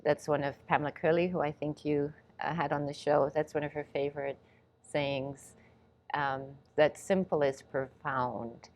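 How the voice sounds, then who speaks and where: Low-voiced woman raised in England. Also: level tone, measured pace, darkish tone. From Ghana, raised in England. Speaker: guest, movement and reflex specialist. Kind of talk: interview answers.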